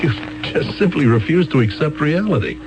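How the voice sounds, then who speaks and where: An actor playing an earnest, flustered defense attorney in a 1970s radio drama. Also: male voice, on the high side, expressive, moderate pace, music in the background, close to an everyday voice, rough recording. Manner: earnest, stammering, pleading.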